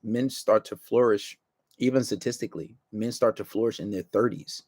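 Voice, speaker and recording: A man's voice: lowish voice, moderate pace, darkish tone, slightly rough, no echo. A man hosting a talk show, speaking casually and bluntly, giving opinions in coarse language.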